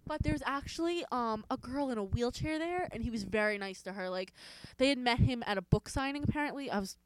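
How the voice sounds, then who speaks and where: A woman's voice: a little high, a little fast, clear, resonant tone, no room sound, poor audio. A young woman co-hosting a podcast, chatting casually and rambling with fillers and restarts. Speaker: podcast co-host. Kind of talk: casual chat.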